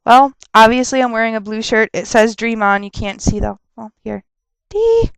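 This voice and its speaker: A young woman rambling casually on a webcam vlog, chatty and quick to laugh and rant, her nose stuffed up from a cold. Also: on the high side, sing-song, gravelly, clean tone, no echo. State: stuffed up with a sore throat. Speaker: vlogger answering viewer questions.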